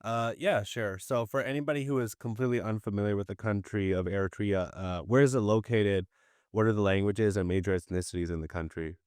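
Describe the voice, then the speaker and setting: Male podcast host, lowish voice, easygoing questions, dry close sound. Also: a little fast, clean tone, dry recording. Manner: relaxed, asks short questions with fillers. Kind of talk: casual interview questions.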